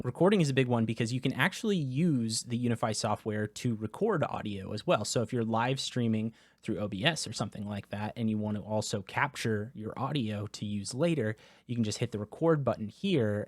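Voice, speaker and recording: A man casually walking through software settings in a product review, talking into a close dynamic USB microphone. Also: lowish voice, no room sound. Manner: casual, explanatory, with filler words. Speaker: tech reviewer.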